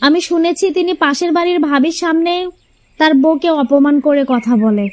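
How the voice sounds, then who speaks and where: A woman voicing a sharp-tongued, bossy young housewife in a cartoon tale, pointed and expressive. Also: high voice, moderate pace, dry recording, close to an everyday voice. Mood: disapproving. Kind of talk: Bengali cartoon fairy-tale voice acting.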